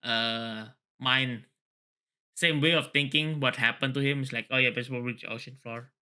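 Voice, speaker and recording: A man with a bright, forceful voice at an average pitch hosts a read-along. He reads prose aloud and breaks off into casual commentary. His manner is excitable and digressive. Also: moderate pace, clean tone. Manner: excitable, often laughing and digressing. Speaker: host reading a story aloud with commentary.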